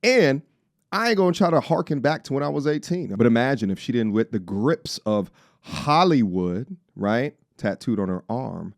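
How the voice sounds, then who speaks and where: A man's voice: mid-range voice, a little mellow, dry recording, studio quality. A man in his forties giving opinionated reaction commentary in an emphatic, preachy manner, often posing rhetorical questions. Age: 40s.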